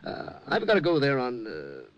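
An actor playing a fussy, self-important undertaker in a 1950s American radio drama, hesitant, pompous and husky. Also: male voice, mid-range voice, huge pitch swings, moderate pace, lo-fi sound. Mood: stiffly formal. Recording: vintage 1950s radio recording.

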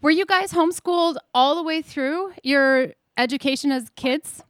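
A woman's voice: sits high, moderate pace, a bit bright, dry recording, clean studio sound. A woman in her thirties hosting a podcast, talking casually and laughing often as she introduces and interviews guests. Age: 30s. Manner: chatty, upbeat, laughs often. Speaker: podcast host.

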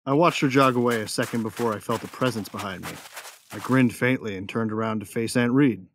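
A man's voice: medium pitch, fairly quick, a bit bright, a little hiss or hum, natural voice. A man playing a tough young gunfighter and protective big brother in a sci-fi audio drama, matter-of-fact and wry. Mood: matter-of-fact, grim. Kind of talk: first-person narration and dialogue in sci-fi audio drama.

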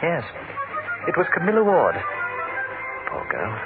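An urbane British spymaster speaks on a tinny old radio recording with loud music. His delivery is clipped and dryly understated, yet lively in pitch, as a manipulative boss in a spy serial. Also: a little slow, vocal fry, full character voice. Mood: dry, grave. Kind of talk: 1970s radio drama acting.

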